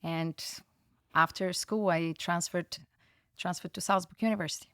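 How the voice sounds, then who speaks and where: A woman's voice: fairly low pitch, steady tone, moderate pace, a bit bright, no room sound, studio quality. Bulgarian-accented woman, frank. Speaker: wine educator guest. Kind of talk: casual interview chat. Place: studio.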